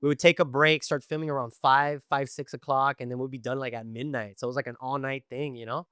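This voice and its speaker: A middle-aged man from Tijuana and East Los Angeles, a podcast guest telling stories in a casual, animated way with lots of fillers. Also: mid-range voice, quick pace, clear, resonant tone, no echo, clean studio sound. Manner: animated storytelling with many fillers.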